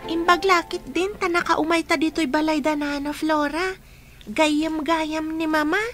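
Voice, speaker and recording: Radio drama: an actress playing a pleading orphan girl, very high and bright. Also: fairly even intonation, unhurried, faint music behind.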